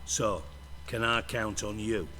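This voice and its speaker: An actor playing a foul-mouthed, menacing underworld boss in a video game, sarcastic, wordy and theatrical. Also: male voice, on the low side, fairly even intonation, slow, sharp tone, slight background noise. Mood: bargaining.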